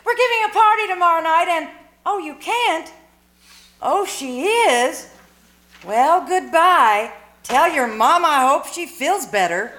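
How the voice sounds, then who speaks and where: A woman acts out a scheming sitcom housewife in a very high, bright voice. The delivery is theatrical and sarcastic, in old-time radio comedy style, recorded live in a roomy space with faint noise. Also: expressive, slow, exaggerated voice. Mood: hopeful, then peeved. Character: sharp-tongued, scheming sitcom housewife, peeved and determined. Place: live stage performance at a winery.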